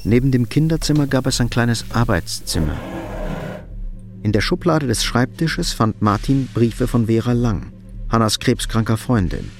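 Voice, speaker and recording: Mid-pitched male drama narrator. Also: lively intonation, moderate pace, soft background music. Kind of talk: scripted radio drama narration.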